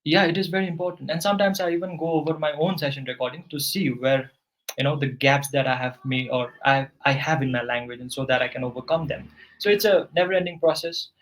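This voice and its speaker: A man's voice: a little high, steady tone, quick pace, a little hiss or hum, rough recording. A man working as an English tutor, patiently giving corrective grammar feedback in a one-on-one session.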